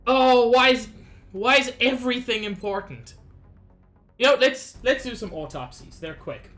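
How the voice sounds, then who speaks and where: Slightly sick lady streaming a game, bright voice, nervous chatter, bit of game music behind. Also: fairly high pitch, lively intonation, unhurried. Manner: chatty, anxious, talks to chat. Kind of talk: casual gameplay commentary.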